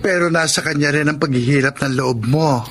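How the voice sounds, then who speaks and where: A man's voice: a little high, fairly quick, background noise, close to an everyday voice. An actor playing a frank, skeptical male confidant in a radio drama, with a Filipino accent. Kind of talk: Tagalog radio drama acting.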